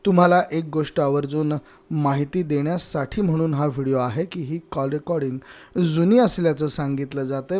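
A man's voice: a little high, darkish tone. A male news host. He delivers commentary to viewers, stressing and restating his points.

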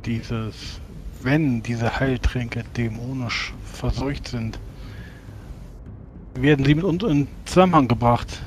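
A man playing a tabletop role-playing game in character, speaking in measured, formal words with hesitant pauses. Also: medium pitch.

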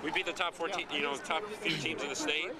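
A man's voice: fairly high pitch, fairly even intonation, quick pace, a crowd in the background. A man reporter asking chatty, leading postgame questions. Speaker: sports reporter. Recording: loud outdoor stadium noise.

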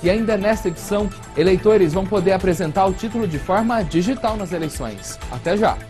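Young male news anchor, steady and formal. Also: high voice, brisk, loud music behind the voice. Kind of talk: scripted news presenting. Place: studio.

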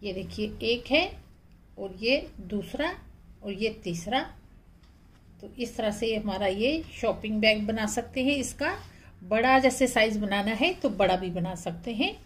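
A woman's voice: mid-range voice, expressive, a little slow. A woman hosting a craft tutorial, narrating step by step in a plain, instructional manner.

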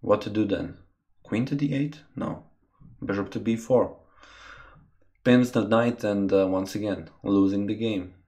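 A man's voice: fairly low pitch, steady tone, unhurried, long pauses, dark tone. A young man from Croatia, streaming live chess from a small room and thinking aloud about moves as he chats with viewers through a webcam mic. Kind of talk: live game commentary with chat.

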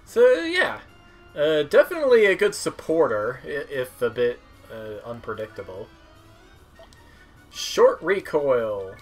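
A man giving casual game commentary in a halting, musing way, sometimes reading text aloud. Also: mid-range voice, big pitch swings. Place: indoor room at home, seated. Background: game music.

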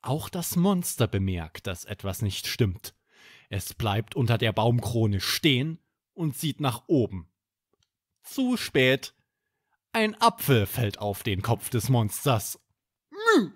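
A man hosting a children's podcast, narrating a bedtime story in an expressive, dramatic way. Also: medium pitch, takes it slowly, dry recording, studio quality.

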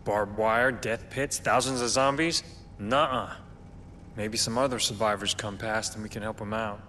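An actor playing a weary, cautious survivor, speaking in a subdued, deadpan way. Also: male voice, fairly low pitch, moderate pace, slight background noise. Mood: wary. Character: weary, cautious male survivor holed up with others. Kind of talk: video game cutscene acting.

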